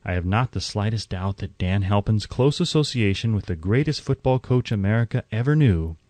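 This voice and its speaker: A man reading an audiobook in a measured, emphatic narrating style. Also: on the low side, darkish tone, no room sound.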